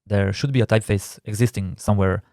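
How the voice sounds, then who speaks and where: Croatian-accented man teaching, low and dry. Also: steady tone, fast, gravelly, a little mellow, no echo. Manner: explanatory, careful, slightly non-native phrasing. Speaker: typography instructor. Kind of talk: recorded course narration.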